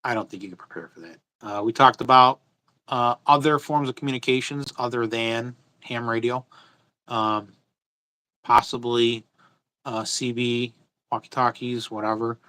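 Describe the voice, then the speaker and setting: A man's voice: medium pitch, steady tone, normal speed, bright, forceful tone, rough recording. A man hosting a casual livestream off the cuff, speaking bluntly and with strong opinions, repeating his phrases for emphasis. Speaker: host of a livestream.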